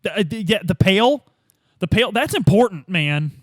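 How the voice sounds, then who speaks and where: A man's voice: high-pitched, lively intonation, normal speed, no echo, studio quality. A man playing in a tabletop roleplay game, improvising casual, slangy dialogue in a blunt, joking way. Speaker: player in a tabletop roleplay podcast. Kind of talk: casual improvised in-character chat.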